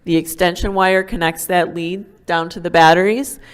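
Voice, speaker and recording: A woman neurologist talks to a conference audience through a microphone. Her voice is smooth, clear and a little low. She explains things plainly and warmly, often pausing with "um". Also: steady tone. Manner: friendly, explains plainly with many ums. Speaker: neurologist giving a talk. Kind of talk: medical lecture to patients. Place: conference hall.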